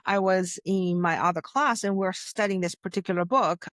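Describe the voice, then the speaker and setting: A woman's voice: medium pitch, moderate pace, fairly bright tone, no echo. Woman, from China, Chinese native speaker, earnest, emphatic, guest coach, dry sound. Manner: earnest, emphatic storytelling with frequent restarts. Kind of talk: interview conversation.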